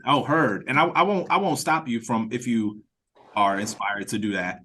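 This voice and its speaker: A man leading an online meeting in casual chat, speaking informally and haltingly as he thinks aloud. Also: medium pitch, bright, forceful tone. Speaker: writer-director leading the meeting. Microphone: video call.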